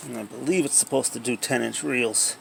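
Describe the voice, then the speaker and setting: A man's voice: medium pitch, brisk, gravelly, background noise. A man talking casually and off the cuff as he narrates an unboxing video, recorded indoors at home on a handheld cell phone. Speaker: amateur unboxing video maker.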